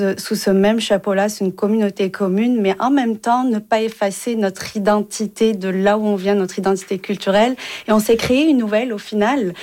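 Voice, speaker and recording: A woman's voice: medium pitch, darkish tone. A woman speaking as a studio guest on a radio panel, talking hesitantly with many fillers. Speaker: guest, organization director.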